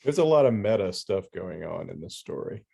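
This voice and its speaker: Low, mellow-voiced male podcast host. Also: sing-song, brisk, creaky, breathy edge. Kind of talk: casual interview chat.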